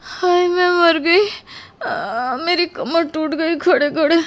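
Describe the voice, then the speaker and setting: A woman's voice: very high-pitched, slow pace. A woman voicing a young, carefree bride who exclaims dramatically and is easily overwhelmed, in a Hindi cartoon story. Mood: wailing, overwhelmed. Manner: exclaiming, melodramatic.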